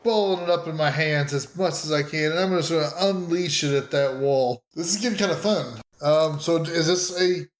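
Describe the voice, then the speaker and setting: A man playing a tabletop game, chatting casually and playfully with excitable reactions, recorded in a roomy home studio. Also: on the high side, normal speed, hardly any pauses.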